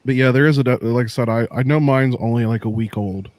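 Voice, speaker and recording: A man's voice: mid-range voice, fast talker, a little mellow, no echo. A man in casual chat, explaining streaming settings with many restarts. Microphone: desk mic through a mixer.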